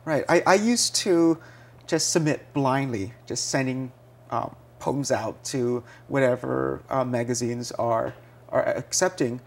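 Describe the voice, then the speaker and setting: A man in his thirties, a Vietnamese-speaking poet and editor, answering interview questions in a relaxed, thoughtful way with many fillers. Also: medium pitch, unhurried, vocal fry. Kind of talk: TV interview guest. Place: small TV studio set. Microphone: lapel mic.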